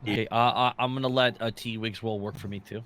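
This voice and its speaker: A man chatting casually and excitably as a tabletop game player, stumbling and repeating himself. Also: on the low side, fairly quick. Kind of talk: casual, joking game chat.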